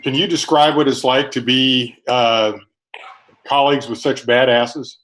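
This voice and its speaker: A man's voice: medium pitch, fairly even intonation, unhurried, a bit bright, rough recording. A man working as a sports reporter asks an informal question on a conference call. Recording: faint noise and clicks on call.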